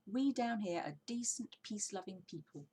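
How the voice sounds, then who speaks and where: A woman's voice: medium pitch, expressive, moderate pace, dark tone, breathy edge. A woman voicing a confident, bossy, jolly father fox in a children's storybook, exclaiming and giving orders. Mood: indignant, persuasive. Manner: exclamatory, commanding, persuasive. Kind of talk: children's storybook character reading.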